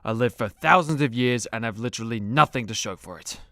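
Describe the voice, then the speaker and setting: A man's voice: mid-range voice, a little fast, bright, forceful tone, no room sound. Guy doing a sad boozed up old-legend hero, radio play, feels like a failure, dry recording. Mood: miserable. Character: miserable, drunk, washed-up immortal male hero. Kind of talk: comedy radio play acting.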